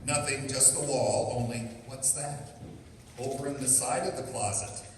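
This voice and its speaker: A man's voice: mid-range voice, fairly even intonation, a little slow, close to an everyday voice. A man playing a brusque, suspicious, sardonic police inspector in an old-time radio detective drama. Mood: suspicious, searching. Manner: brusque, insistent, wry. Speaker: amateur radio theatre actor. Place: live stage performance in a large room. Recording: very echoey hall from far off, faint noise.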